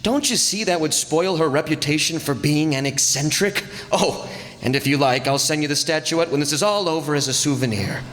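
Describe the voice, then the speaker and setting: A man plays a breezy young nephew in an old-time radio play. His voice sits a little high and rings through a reverberant hall. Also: moderate pace, a bit bright, close to an everyday voice. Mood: amused, breezy. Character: breezy young nephew explaining his eccentric aunt's scheme. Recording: echoey hall live stage recording.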